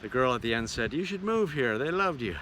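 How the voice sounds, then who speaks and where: A man's voice: mid-range voice, lively intonation, fairly quick, bright, forceful tone, a little hiss or hum. A man who entertains as a touring singer and show host, chatting casually in a folksy way as in a travel vlog or between songs.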